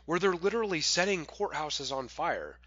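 A man's voice: a little high, animated, normal speed, pushed and bright, dry recording. Male political talk host, emphatic and pointed, tense tone, lo-fi close recording. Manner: emphatic, building intensity. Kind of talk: political interview.

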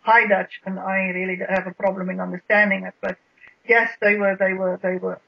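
A South African guest with an Afrikaans accent, explaining history in a halting way with many repeated words. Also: medium pitch, level tone, vocal fry. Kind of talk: radio interview, explaining history. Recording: dull lo-fi radio sound.

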